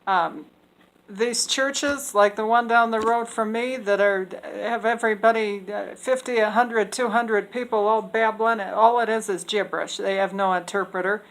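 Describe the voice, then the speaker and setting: A woman's voice: medium pitch, fairly even intonation, normal speed, rough recording. A woman explaining scripture passages in an unscripted talk, earnest and hesitant, with many fillers and restarts. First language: English. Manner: earnest, opinionated. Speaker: speaker explaining Bible passages.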